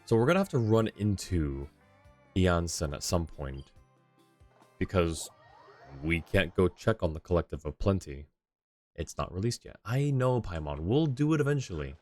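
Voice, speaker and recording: Low-voiced man livestreaming a game. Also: lively intonation, moderate pace, a little mellow, faint music behind. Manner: dry, joking asides. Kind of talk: casual game stream commentary, reading along.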